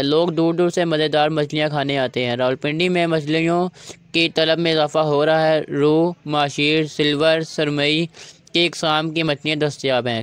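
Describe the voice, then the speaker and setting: A young man from Pakistan with a high, smooth and resonant voice. He narrates a vlog in a steady, formal way, recorded close and dry with no room sound. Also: no echo. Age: young adult. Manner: formal, reads facts in steady Urdu. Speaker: vlog host.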